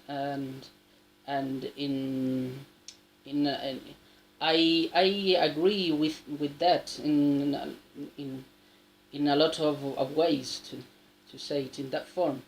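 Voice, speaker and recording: Slow, halting man. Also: fairly high pitch, steady tone, slight background noise, low-quality recording. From South America. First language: Spanish. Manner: halting, with many restarts and a frequent tag question. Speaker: English learner sharing advice. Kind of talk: casual spoken monologue.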